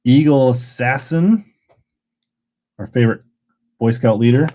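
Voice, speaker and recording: A man's voice: medium pitch, unhurried, dark tone. A man hosting a casual livestream auction, chatting in a rambling way and reading viewer comments aloud. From Minnesota. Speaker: live auction seller.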